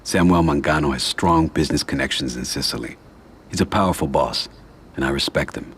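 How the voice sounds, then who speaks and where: An actor playing a cold, calculating middle-aged mob boss who speaks calmly and with quiet command in a game cutscene. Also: male voice, extremely low pitch, slight background noise. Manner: calm, measured, quietly commanding.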